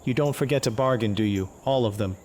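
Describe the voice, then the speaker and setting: Gruff old farmer played by a man, blunt and sardonic, game quest dialogue, faint night insects behind. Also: mid-range voice, quick pace, darkish tone, slight background noise, lightly acted. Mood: wry. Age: older. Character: gruff old farmer beset by wolves, shrewd, grumbling. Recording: faint outdoor night ambience with crickets. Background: crickets and insects.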